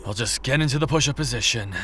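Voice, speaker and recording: A man's voice: medium pitch, fast talker, sharp tone, a little hiss or hum, lightly acted. A man playing an earnest, stubborn warrior hero with a terse, urgent delivery in an audio drama. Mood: awkward, urgent.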